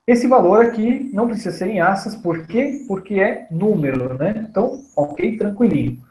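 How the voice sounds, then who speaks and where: A man in his thirties teaching programming on a screen recording, relaxed and chatty, often checking in with his listeners. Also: sits high, moderate pace, echoey room, low-quality recording. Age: 30s. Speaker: programming instructor. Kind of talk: screen-recorded coding tutorial.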